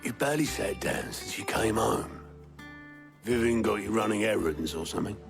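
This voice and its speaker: Game role: rough, caring, plain-spoken ally, low gravelly voice, colloquial. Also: male voice, normal speed, music in the background. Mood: teasing. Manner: colloquial, teasing. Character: gruff, teasing working-class male comrade, rough but caring. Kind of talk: scripted video game drama acting.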